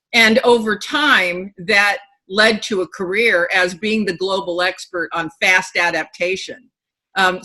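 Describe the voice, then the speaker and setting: A middle-aged woman speaking as a guest author over a video call from a room at home, telling her stories in an animated, emphatic and candid way. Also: mid-range voice.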